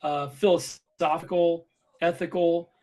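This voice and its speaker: A man with a somewhat high voice discusses ideas as a podcast co-host. He talks informally and argues his points with emphasis, repeating phrases as he goes. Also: flat delivery, measured pace, darkish tone. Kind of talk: casual opinionated discussion.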